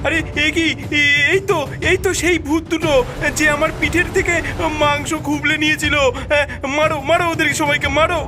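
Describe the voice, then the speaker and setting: A man voicing an excitable, exclamatory villager in a cartoon. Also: extremely high pitch, brisk. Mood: angry. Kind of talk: cartoon voice acting. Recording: clear music behind.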